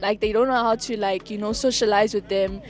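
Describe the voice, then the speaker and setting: A woman's voice: mid-range voice, steady tone, a little fast. A teenage girl answering interview questions earnestly, with many fillers. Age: teens.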